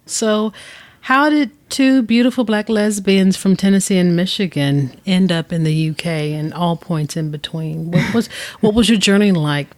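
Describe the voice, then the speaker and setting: A woman's voice: mid-range voice, a little slow, a little mellow, no echo. A woman hosting an interview podcast, polished and welcoming as she introduces guests and asks questions. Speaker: podcast host. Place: recording session in Atlanta.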